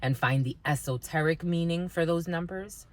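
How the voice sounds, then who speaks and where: A woman whose first language is Spanish, giving a halting intuitive spiritual reading to viewers, with many fillers and repeated phrases. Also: low voice. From Dominican family, Spanish first language. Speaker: spiritual reader.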